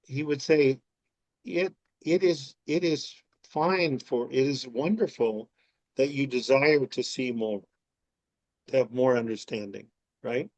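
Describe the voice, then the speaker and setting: A man answering a listener's question in a calm, reflective teaching manner, stopping now and then to clarify. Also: mid-range voice, slow. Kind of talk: gentle conversational teaching. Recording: dull lo-fi audio.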